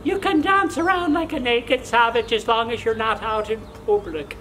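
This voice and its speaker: A woman hosting a comic monologue, gossiping in a pious, scolding way and dropping low for asides. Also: moderate pace, fairly bright tone, a little hiss or hum. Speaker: comedy show host.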